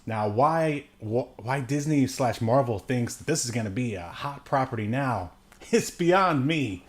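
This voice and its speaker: A man co-hosting a podcast, talking casually and haltingly about entertainment news, often chuckling mid-sentence. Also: mid-range voice, expressive. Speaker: podcast co-host. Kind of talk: casual chat, movie news commentary.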